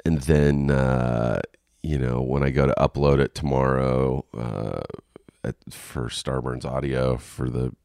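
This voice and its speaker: A man's voice: very deep voice, little pitch movement, slow, a little mellow, clear, resonant tone, no room sound, studio quality. A congested man hosting a solo podcast, rambling frankly with lots of ums and restarts, sounding a little nasal and coughing. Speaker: podcast host. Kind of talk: casual solo podcast monologue. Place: home.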